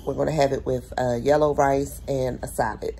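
A young adult woman, vlogging casually from home and talking a viewer through her dinner plans with relaxed fillers. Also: rumbling low, moderate pace, a little hiss or hum, rough recording. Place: small home room, indoors.

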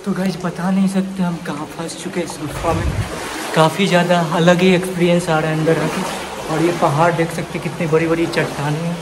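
A man's voice: on the high side, noisy background. A man guiding viewers on a walking tour through a cave with a stream, talking to them as he goes. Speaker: travel vlogger. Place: outdoors in a rocky cave gorge with a rushing stream and waterfall. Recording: flat voice under loud rushing water. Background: loud rushing water.

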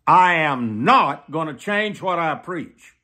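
An elderly man preaching to the camera in an emphatic, deliberate manner, often repeating phrases for weight. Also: on the high side, expressive, sharp tone. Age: 70s. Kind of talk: sermon to camera. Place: small plain room indoors.

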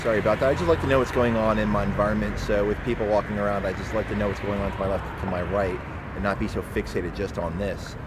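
A middle-aged man talking to a handheld camera at arm's length as he walks a city street, in a relaxed, rambling way. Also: on the low side, fast talker. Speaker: photography vlogger. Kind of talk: casual walking vlog. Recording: outdoor street noise.

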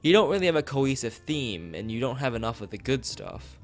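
A man voicing a discerning, blunt woman who owns an art gallery, giving a measured, frank appraisal in a visual novel. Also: medium pitch, big pitch swings. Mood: firm, frank. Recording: background music behind voice.

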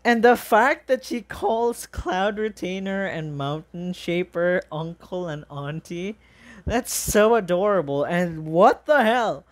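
Rambling, excitable reaction video host. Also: medium pitch, slow. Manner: rambling, exclaiming, informal. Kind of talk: casual excited reaction commentary.

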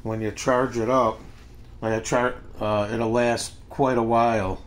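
An older man with an American accent gives a casual, unscripted product review in a halting, plain-spoken way. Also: mid-range voice, little pitch movement. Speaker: gadget reviewer. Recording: noisy amateur home recording.

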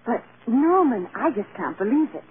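Sweet young bride, tinny radio. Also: female voice, fairly high pitch, sing-song, normal speed. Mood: delighted. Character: sweet young female movie star, adoring new bride. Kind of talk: vintage American radio drama acting. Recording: scratchy, tinny old radio broadcast.

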